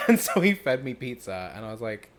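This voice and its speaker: A woman's voice: big pitch swings, brisk, a loud crowd. A young woman with a Southern US accent, co-hosting a podcast, telling frank stories bluntly and laughing often. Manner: blunt storyteller, laughs often, big pitch swings. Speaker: podcast co-host. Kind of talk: casual chat.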